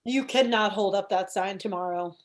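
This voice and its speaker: A woman's voice: medium pitch, normal speed, natural voice. A woman playing a zealous, quirky, scheming campaign manager in a comedy script read. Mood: intense, matter-of-fact.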